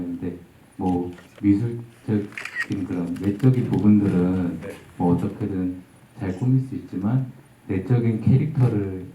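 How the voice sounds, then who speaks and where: A man from the audience asks a question slowly and carefully, his voice low and very mellow. He is heard from a distance in a roomy hall, with a faint hiss under him. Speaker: audience member. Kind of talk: asking a question at a Q&A. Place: event hall.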